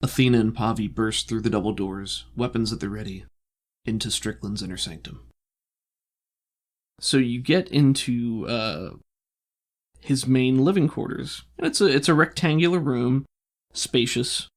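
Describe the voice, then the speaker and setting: Male game master, mid-pitched, improvised scene-setting with hesitant word searches. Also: unhurried, pauses often. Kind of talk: narrating a tabletop roleplaying game.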